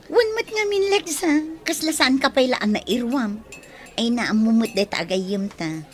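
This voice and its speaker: A woman's voice: on the high side, expressive, normal speed, natural voice. An actress playing a cheerful young woman coaxing her friend to have fun in a radio drama.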